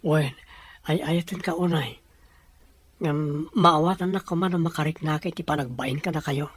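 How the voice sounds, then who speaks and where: A humble young suitor played by a man in an audio drama, respectful and shy. His voice is fairly high and husky, with an earnest delivery. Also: steady tone, normal speed, no room sound. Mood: bashful. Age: young adult. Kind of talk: scripted audio drama dialogue.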